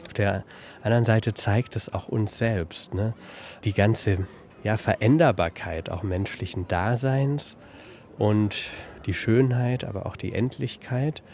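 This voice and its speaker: Low-voiced man giving a reflective interview. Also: measured pace, darkish tone. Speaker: interviewee, expert on antiquity. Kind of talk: reflective spontaneous interview talk. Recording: interview with some background noise.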